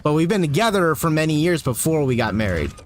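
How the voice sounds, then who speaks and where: A man in his thirties talks through a live game stream with a lively, bouncing delivery, his voice sitting a touch higher than most men's. He chats freely with viewers, pokes fun at himself and yelps at whatever the game throws at him. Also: quick pace. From United States. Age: 30s. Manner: animated, self-mocking, reacts loudly to game enemies. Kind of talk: live gameplay commentary, chatting with viewers.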